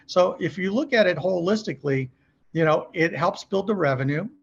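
Gravelly, husky man coaching conversationally. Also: high-pitched, moderate pace, muffled recording. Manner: emphatic, asks rhetorical check questions, acts out sample calls. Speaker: podcast guest, car dealership service trainer.

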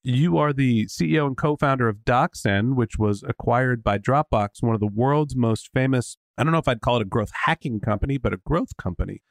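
A man hosting a podcast interview in a loose, chatty way, his voice a bit lower than usual. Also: fairly quick, hardly any pauses, no room sound. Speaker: podcast host.

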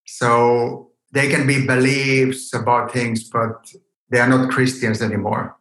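A man's voice: mid-range voice, little pitch movement, unhurried, a bit bright. A man in his fifties from Finland with a Finnish accent, answering hesitantly as a guest in an interview and searching for words. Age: 50s. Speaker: podcast guest, yoga teacher. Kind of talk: unscripted interview conversation. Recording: roomy echo on the voice.

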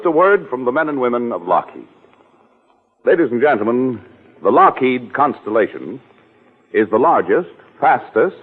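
Guy, 1940s radio announcer, emphatic scripted read, lively pitch, tinny old recording. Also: breathy edge. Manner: emphatic, measured, declamatory.

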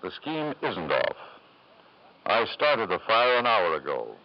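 A man's voice: fairly low pitch, very animated, takes it slowly, full character voice. An actor playing an ambitious, scheming father in 1950s radio drama, persuasive and theatrical, on an old broadcast recording. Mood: coolly calculating. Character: ambitious, scheming father, smooth-talking and desperately gambling. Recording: dull lo-fi vintage radio broadcast.

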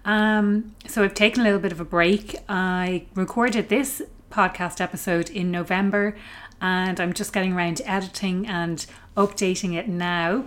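A woman hosting a podcast interview, chatty and upbeat, her voice still a little hoarse from being ill. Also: medium pitch, steady tone, moderate pace. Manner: chatty, upbeat, loose phrasing. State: recovering from illness, slightly hoarse. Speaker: podcast host.

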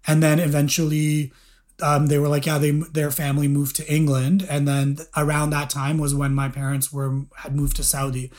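A man's voice: on the high side, monotone, moderate pace, darkish tone. A young man recounting an anecdote in casual, informal speech peppered with "like". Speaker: interview guest.

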